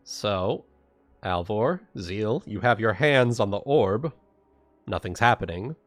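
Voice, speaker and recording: Male game master, lowish voice, slow halting narration, repeated phrases, faint music. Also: animated, no room sound. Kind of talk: improvised tabletop game narration.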